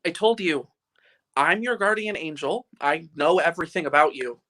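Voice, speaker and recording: A man's voice: fairly high pitch, moderate pace, sharp tone. A man playing an innocent, centuries-old guardian angel with a quaint, earnest manner in a live radio play reading.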